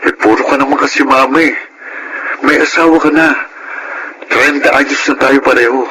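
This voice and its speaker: An actor playing an earnest, exasperated Filipino husband of thirty in a radio drama. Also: male voice, medium pitch, steady tone, normal speed, noisy background, lightly acted. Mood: exasperated, scolding. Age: 30s. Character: frustrated thirty-year-old husband wanting independence from in-laws.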